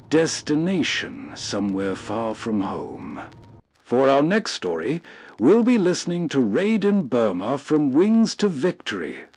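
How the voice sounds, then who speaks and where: A man narrates as a program host. His voice sits a little high. He reads atmospheric, scripted scene-setting introductions. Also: darkish tone, a little hiss or hum.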